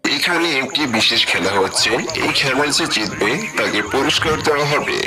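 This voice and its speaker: A man's voice: mid-range voice, lively intonation, a little fast, natural voice. A man playing a formal announcer in a cartoon fan dub, declaiming each statement. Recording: music under the voice.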